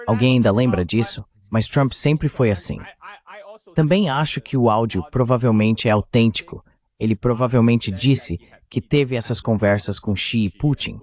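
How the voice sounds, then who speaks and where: A man's voice: medium pitch, moderate pace, darkish tone. A man giving translated voice-over political commentary, opinionated and fond of rhetorical questions.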